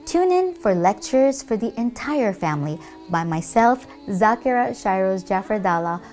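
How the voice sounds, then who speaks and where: A woman's voice: mid-range voice, very animated, normal speed, a little mellow, faint music behind. A woman presenting a scripted promotional announcement for religious and children's programs. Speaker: religious lecturer and puppeteer presenter.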